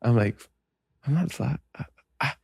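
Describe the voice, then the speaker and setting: A man's voice: normal speed, no echo, clean studio sound. A young adult man from Hawaii, a native Hawaiian speaker, hosting a podcast in casual conversation, joking and telling stories about himself. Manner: joking, laughs often, reflective storytelling. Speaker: podcast host.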